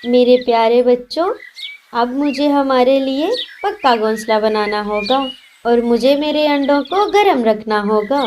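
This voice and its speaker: A woman voicing a gentle, polite, trusting mother sparrow in a children's cartoon. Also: on the high side, unhurried, a little hiss or hum, natural voice. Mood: cheerful, tender. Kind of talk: Hindi children's cartoon voice acting.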